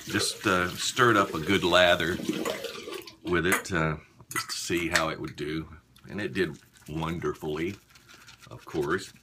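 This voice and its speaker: A man with a notably deep voice chats in an unhurried, halting way, as if half busy with something. He speaks casually to his viewers from a small bathroom. There is light background noise of running water. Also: fairly even intonation. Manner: unhurried, halting, talking while busy with a task. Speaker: shaving vlogger. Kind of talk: casual chat to viewers while shaving.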